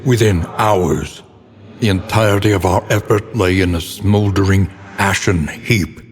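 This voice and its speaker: An actor playing a grave, erudite older scholar in a horror game, narrating a dark occult past with solemn, deliberate, ornate diction. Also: male voice, low voice, steady tone, slight background noise. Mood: grave, brooding. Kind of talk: dramatic scripted gothic narration. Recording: long cavernous reverb.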